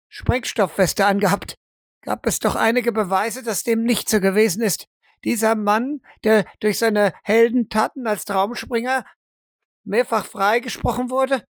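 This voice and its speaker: A woman's voice: fairly high pitch, no echo, studio quality, close to an everyday voice. A woman voicing an earnest television news reporter with grave, emphatic delivery in an audio role-play. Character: earnest female TV news reporter revealing a scandal.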